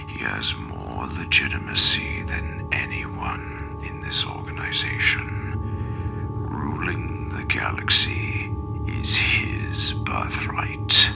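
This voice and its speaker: Creepy old alien overlord, whispery and slow, super gravelly, comic dub with music behind. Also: male voice, a bass voice, heavily acted. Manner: slow, menacing, deliberate. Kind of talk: fan comic dub acting.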